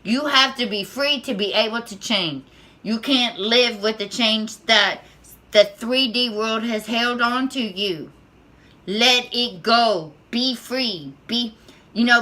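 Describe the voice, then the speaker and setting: A woman speaking as a spiritual tarot vlogger, with a squeaky voice, talking in a nervous, halting way full of restarts and repeated words. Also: sharp tone. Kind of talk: unscripted spiritual monologue to camera.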